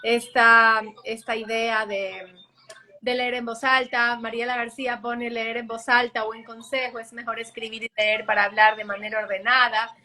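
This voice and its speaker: Woman host, live interview, bright and forceful voice, little pitch movement, enthusiastic and affirming. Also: level tone, normal speed. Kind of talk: live interview, reading viewer comments.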